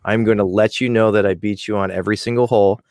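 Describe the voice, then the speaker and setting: A man hosting a podcast with a smooth voice, running a casual interview in a friendly, energetic way. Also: fairly low pitch, fast, dry recording, clean studio sound. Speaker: podcast host.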